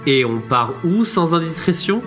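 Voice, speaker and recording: An actor playing a grumbling, reluctant adventurer in a comic audio drama, sarcastic and exasperated. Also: male voice, on the high side, big pitch swings, moderate pace, a bit bright. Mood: wry. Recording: music behind the voice.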